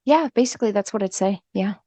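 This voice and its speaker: Mid-pitched woman, informal, dry close sound. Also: fast, mellow, dry recording. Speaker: podcast guest, translator. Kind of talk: casual chat.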